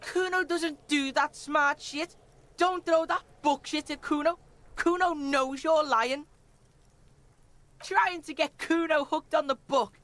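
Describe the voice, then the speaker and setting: A defiant young street punk from a video game. The voice is high and piercingly bright, with a swaggering sneer and a hostile, cocky edge. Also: female voice, slow pace. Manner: swaggering, sneering, speaks of self in third person. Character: cocky foul-mouthed delinquent street boy, defiant, hostile. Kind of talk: video game character acting.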